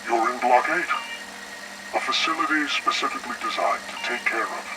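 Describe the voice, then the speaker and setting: A man playing a calmly menacing facility director interrogating a captive in a game audio log, with measured, condescending delivery. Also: mid-range voice, over loud music, close to an everyday voice, rough recording. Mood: smug, coolly insistent. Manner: measured, condescending, veiled threats.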